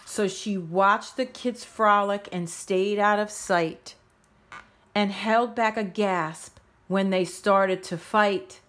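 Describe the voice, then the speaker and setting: A woman narrates a children's picture book in rhyme. Her pace is very unhurried and expressive, with a medium-pitched voice. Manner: measured, expressive storytelling. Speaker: storybook reader.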